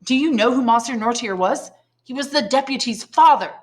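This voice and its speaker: A woman's voice: on the high side, pitched above the performer's own voice, close to an everyday voice. A woman reading as a shrewd, learned old priest. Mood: shrewd, probing. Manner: deliberate, probing questions and measured explanations. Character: Faria, shrewd, learned old male prisoner priest, probing and kindly.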